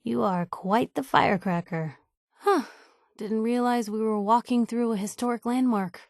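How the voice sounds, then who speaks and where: Game companion: young female reporter, played by a woman, higher-voiced, principled and sassy, old-fashioned slangy banter, very dry close recording. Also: moderate pace, darkish tone, no room sound, natural voice. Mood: wry banter. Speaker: video game voice actress. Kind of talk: video game companion voice lines.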